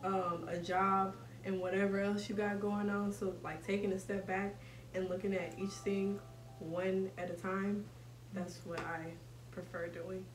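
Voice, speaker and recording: A woman's voice: medium pitch, flat delivery, measured pace, faint music behind. A young woman from Boston talking casually and thoughtfully among friends. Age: young adult. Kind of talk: casual chat. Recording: roomy sound from a distance.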